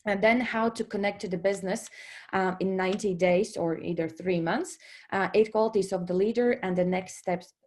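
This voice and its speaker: A woman's voice: mid-range voice, fairly even intonation, normal speed, darkish tone. A woman in her thirties from Latvia, speaking English with a Latvian accent, presenting to an online business audience with many fillers and restarts and frequent "okay?" check-ins.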